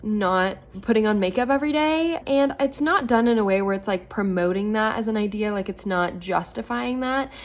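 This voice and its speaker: A woman in her twenties chatting casually at home about books, a little hoarse from losing her voice and filling her talk with "like". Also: medium pitch, normal speed, hardly any pauses. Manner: chatty, lots of "like" fillers. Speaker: book vlogger. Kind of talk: casual reading vlog.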